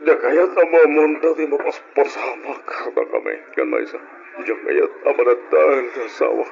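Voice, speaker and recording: An aggrieved, troubled husband and father in an old radio play. His voice is mid-pitched with heavy gravel, on a dull recording with a faint layer of noise. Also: big pitch swings, normal speed, a little mellow. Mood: anguished, bitter. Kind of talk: Ilocano radio drama acting.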